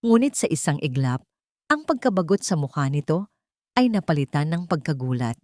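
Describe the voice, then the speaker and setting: A woman narrates a dramatic scripted love story. Her voice sits on the low side, recorded close and dry with no room sound. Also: big pitch swings, moderate pace, a little mellow, dry recording.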